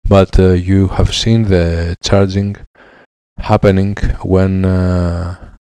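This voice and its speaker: A man in his thirties with a Greek accent, a repair technician explaining a laptop fix in halting English with many fillers, on a headset mic in his lab. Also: sits low, level tone, dark tone, clean tone, dry recording. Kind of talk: hands-on repair tutorial. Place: electronics repair lab, indoors.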